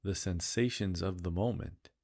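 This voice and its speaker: Man, meditation guide, very low voice, gravelly, slow soothing delivery. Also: darkish tone. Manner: slow, soothing, drawn-out phrases with repeated cues.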